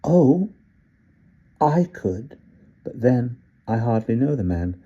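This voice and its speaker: A man voicing a short, slight, sinister old man who speaks softly with a faint British accent. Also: medium pitch, sing-song, slow pace, voice pushed up, full character voice. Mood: coaxing menace. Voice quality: soft, smooth and coaxing. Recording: dull lo-fi recording.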